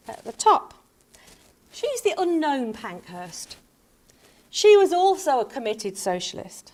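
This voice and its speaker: A woman with a Northern English accent giving a public history lecture, animated, rising on key names and dropping low for asides. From Northern England. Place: lecture hall.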